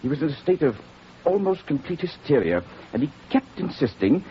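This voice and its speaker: Earnest physician, animated old-radio voice. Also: male voice, on the high side, normal speed. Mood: grave, urgent. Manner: hesitant, formal, earnest. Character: earnest, worried male country physician seeking help. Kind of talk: 1940s radio drama acting. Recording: dull, hissy old radio recording.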